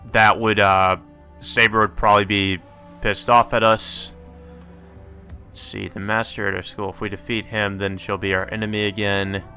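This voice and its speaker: A man's voice: fairly low pitch, sharp tone. A man doing a let's play, reading visual novel text aloud and commenting casually. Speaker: let's player.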